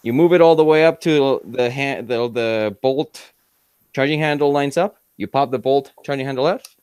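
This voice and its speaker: A young man, excitedly demonstrating a rifle on a video call from his workshop, full of fillers and restarts. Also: medium pitch, moderate pace, smooth, dry recording. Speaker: panelist showing a rifle. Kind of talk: casual chat, show-and-tell demo. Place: cluttered workshop indoors. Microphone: headset, video call.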